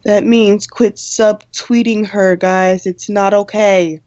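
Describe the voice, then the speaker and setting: A woman acts as a brisk student newsreader on a campus radio show in an audio drama. She is a female presenter with a medium-pitched voice, deadpan and formal with a wry, exasperated edge. The sound is very dry and slightly lo-fi. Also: dry recording, natural voice. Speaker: radio co-host character. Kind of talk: radio play in campus news broadcast style.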